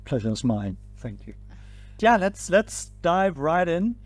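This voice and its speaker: A man's voice: on the high side, very animated, measured pace, no room sound, faint music behind. A man hosting a podcast, welcoming a guest and asking about her career with short, friendly interjections. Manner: friendly, brief encouraging interjections. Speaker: podcast host.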